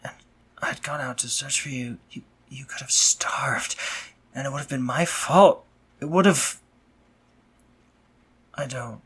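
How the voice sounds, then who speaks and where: A man playing an anxious, awkwardly tender spider-monster medic in a scripted romantic audio roleplay, speaking formally and haltingly with a breathy, slightly husky voice. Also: medium pitch, a bit bright, exaggerated voice. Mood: shaken, guilty. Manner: formal, stammering, halting when flustered.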